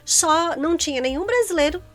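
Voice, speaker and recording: A woman's voice: very high-pitched, lively intonation, brisk, faint music behind. A middle-aged Brazilian woman co-hosting in casual chat, speaking Brazilian Portuguese and talking straight to viewers. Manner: chatty, addresses viewers directly. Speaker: co-host.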